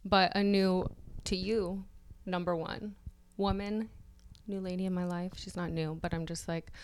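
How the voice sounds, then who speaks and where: A woman's voice: on the low side, little pitch movement, measured pace, clear, resonant tone. A woman co-hosting a podcast, chatting casually in a laid-back way with short replies and frequent laughs. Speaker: podcast co-host. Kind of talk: casual gossipy chat.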